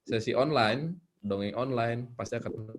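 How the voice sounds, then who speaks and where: A man's voice: medium pitch, measured pace. Guy giving storytelling tips casually on a video call, noise in the background. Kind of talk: casual online workshop talk. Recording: noisy online video call.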